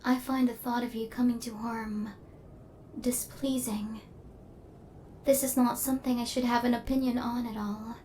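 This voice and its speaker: A woman plays a female robot hunter in an intimate ASMR roleplay. Her voice sits fairly high and stays very level, with stiff, formal wording. She is coldly logical, yet possessive and tender toward her human target. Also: moderate pace, slight background noise, close to an everyday voice. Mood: hesitant, conflicted. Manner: formal, clipped machine-like phrasing, deadpan.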